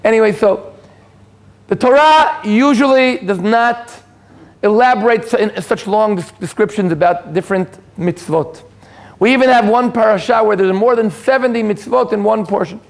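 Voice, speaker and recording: An Israeli man whose first language is Hebrew gives a relaxed talk to an audience in a community hall. His voice sits high and carries a gravelly fry. He stumbles through restarts and fillers and drops in dry jokes at his own expense. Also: normal speed. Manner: self-deprecating, joking asides, frequent restarts and fillers. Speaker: lecturer. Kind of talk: informal lecture to an audience.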